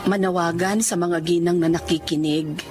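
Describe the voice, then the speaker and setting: Actress as remorseful wife over music. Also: female voice, on the low side, fairly even intonation, normal speed, lightly acted. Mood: earnest appeal. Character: remorseful wife narrating her life, earnest and sorrowful. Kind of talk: dramatic first-person radio narration. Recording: music behind the voice.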